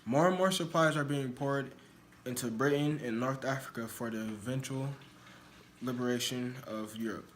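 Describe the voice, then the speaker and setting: A man's voice: mid-range voice, moderate pace. Man reading news, faintly noisy recording. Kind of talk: scripted news report read aloud.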